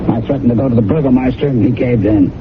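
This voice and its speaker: A man's voice: mid-range voice, fast talker, natural voice. An actor playing a brash, skeptical American radio man, blunt and wry, in 1970s radio drama style. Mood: suspicious, blunt. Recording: noisy tinny old radio broadcast.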